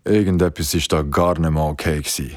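A man's voice: very deep voice, fairly even intonation, a little fast, darkish tone, dry recording, studio quality. An actor playing a casual, slangy young man recounting a wedding in a radio drama. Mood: shocked. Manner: slangy, easily amazed, casual. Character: Besim, casual young male wedding guest recounting a horror. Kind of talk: colloquial radio drama storytelling.

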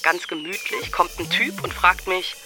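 A man with a high voice tells a first-person monologue that slips into half-singing. A loud hip-hop beat with drums runs behind him. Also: steady tone, normal speed, loud music behind the voice.

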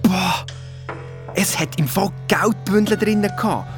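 An actor playing an excitable young boy and amateur detective with a Swiss German accent, telling his story colloquially in a children's radio play. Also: medium pitch, a little slow, natural voice. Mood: excited, secretive. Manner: colloquial, eager, defensive when challenged. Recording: music behind the voice.

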